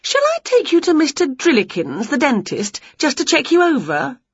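A woman voicing an excitable, dramatic female witch in a storybook, with a shrill, theatrical manner, in a dull, lo-fi recording. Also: moderate pace, dry recording. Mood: fussing. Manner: shrill, theatrical, over-the-top. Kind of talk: storybook character voice.

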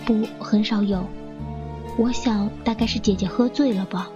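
A woman's voice: mid-range voice, fairly even intonation, moderate pace, highs cut off, natural voice. A woman playing a polite, earnest young daughter answering questions in a radio drama. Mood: subdued, cooperative. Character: soft-mannered young woman, daughter of a professor. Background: music.

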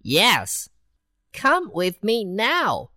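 A woman voicing a boastful, worldly city mouse in a children's storybook reading, with a slow, bouncy delivery. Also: huge pitch swings, bright, forceful tone, no echo, studio quality, exaggerated voice. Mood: eager. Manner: slow, bouncy storybook voice with big pitch swings.

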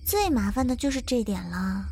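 A woman's voice: on the high side, big pitch swings, normal speed, dark tone, slight background noise. A woman voicing a frightened, whiny young survivor who pleads and panics in a zombie audio drama. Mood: pouting, teasing. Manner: pleading, girlish, prone to panic. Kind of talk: fan audio drama acting.

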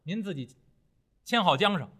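Guy doing a cheeky fearless monkey king from a folk tale, bragging, bright and lively. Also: high-pitched, moderate pace, slightly rough, exaggerated voice. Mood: breezy, reassuring. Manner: boastful, breezy, reassuring. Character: cocky, eager monkey king disciple, male, fearless.

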